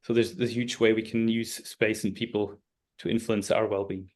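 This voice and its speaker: Man, flat monotone, thoughtful, restarts sentences, webinar guest. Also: on the low side, normal speed. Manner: thoughtful, searching for words. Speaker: guest speaker. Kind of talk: webinar Q&A explanation. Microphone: video call.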